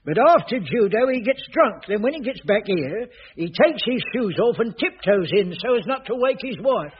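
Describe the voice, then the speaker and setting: High-pitched, put-upon caretaker. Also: male voice, expressive, moderate pace. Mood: evasive. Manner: colloquial, protesting, comically malapropping. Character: jobsworth, put-upon old caretaker, indignant and evasive. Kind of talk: 1980s radio sitcom acting. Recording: dull, noisy old radio recording with clicks.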